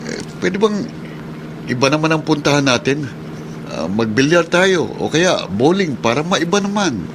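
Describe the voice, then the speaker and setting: A man's voice: fairly high pitch, big pitch swings, normal speed, noisy background. An actor playing an apologetic, worried young man in a Filipino radio drama, pleading and hesitant. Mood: exasperated. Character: apologetic, worried young man, put-upon loyal cousin. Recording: music under the dialogue.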